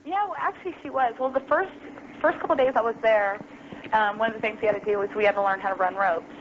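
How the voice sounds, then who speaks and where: A woman's voice: a little high, quick pace. A young adult woman telling her story as an interview guest over the phone, casual and full of ums and you-knows. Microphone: phone call. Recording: lo-fi phone line, noisy.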